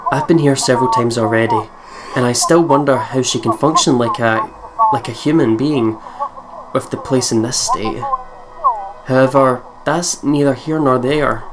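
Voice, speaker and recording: A man hosting a let's play, reading first-person visual novel narration aloud and laughing between passages. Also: medium pitch. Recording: loud background noise.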